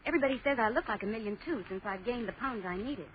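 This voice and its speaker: A woman's voice: on the high side, lively intonation, rapid-fire, slight background noise, lightly acted. An actress playing a chatty, earnest American woman in a 1940s radio advertisement. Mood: delighted. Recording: dull old radio broadcast.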